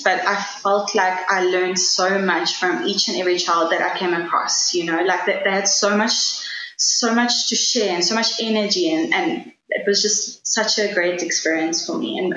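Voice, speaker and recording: A woman's voice: fairly low pitch, moderate pace, a bit bright. Earnest South African woman, echoey room. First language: Afrikaans. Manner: earnest, enthusiastic, thinks aloud with frequent restarts. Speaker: guest, travel writer. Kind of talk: casual interview answers. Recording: echoey room with faint background noise.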